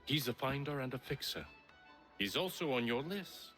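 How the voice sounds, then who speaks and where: A man acting as a brisk, loyal right-hand man in a video game. His tone is sharp and clipped, with faint music underneath. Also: mid-range voice, lively intonation, lightly acted. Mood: businesslike. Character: brisk, loyal male lieutenant to a conspirator leader.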